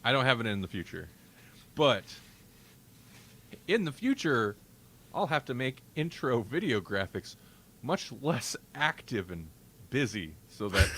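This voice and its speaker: A man's voice: fairly low pitch, animated, unhurried, a bit bright, slight background noise. A man hosting a casual live-stream podcast, rambling along in a joking, self-deprecating way. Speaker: podcast host.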